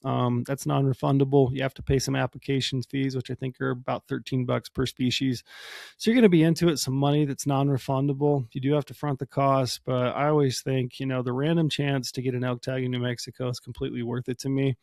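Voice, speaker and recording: Man, hoarse, solo podcast talk, relaxed rambling explainer, medium pitch, dry sound. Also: a little fast, dry recording. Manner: casual, explanatory, many ums and you-knows. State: hoarse from a cold. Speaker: podcast host.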